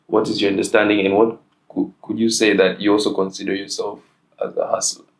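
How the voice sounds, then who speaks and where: Young guy with a really low voice, hosting a chat, lots of ums and uhs. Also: flat delivery, fairly quick. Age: young adult. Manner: hesitant, with many fillers. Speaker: host. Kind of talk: casual interview.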